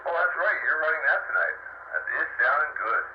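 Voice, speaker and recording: A man's voice: fairly high pitch, fairly quick. A man checking in as a caller for casual chat over two-way radio. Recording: very noisy, dull two-way radio signal.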